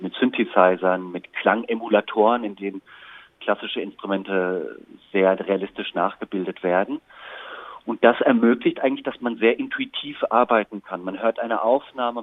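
A man with a fairly low, slightly husky voice is being interviewed. He explains his creative work in a considered, articulate and reflective way. The sound is narrow and muffled, like a phone connection. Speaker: interviewed radio play author and composer.

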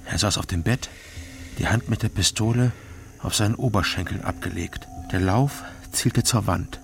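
An actor playing a sober private detective who narrates his captivity in a radio drama, measured and reflective. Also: on the low side, gravelly, a little hiss or hum. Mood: tense recollection. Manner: measured, reflective, in short plain phrases.

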